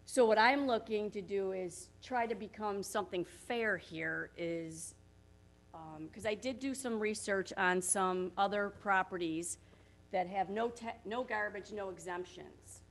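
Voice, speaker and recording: Brief: woman, property manager, loud plainspoken voice, walks a council through figures on a room mic. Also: medium pitch, unhurried. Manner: plainspoken, talks through her figures with frequent "so what I did". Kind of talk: presentation at a town work session. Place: council chambers. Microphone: room microphone.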